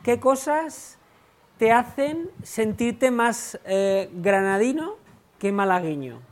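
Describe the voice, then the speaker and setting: A woman teacher with a fairly high voice guides a group of children through a discussion. She asks follow-up questions and repeats each child's answer back to them. Between their answers she offers brief, encouraging affirmations. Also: expressive, slow pace. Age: adult. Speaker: teacher leading a class discussion.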